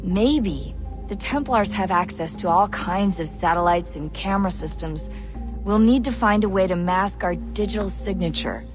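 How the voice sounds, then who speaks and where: An actress playing a practical, cautious young tech specialist in a video game. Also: female voice, medium pitch, normal speed, close to an everyday voice. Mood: wary, thoughtful. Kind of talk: video game cutscene acting. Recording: music under the voice.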